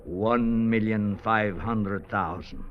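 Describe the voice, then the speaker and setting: A man's voice: on the low side, slow pace. An actor playing a suave, scheming villain in an old radio drama, speaking with oily, formal courtesy and condescension, savoring his words. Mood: smug, savoring. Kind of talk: 1940s-50s American radio drama acting. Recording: dull, noisy vintage radio recording.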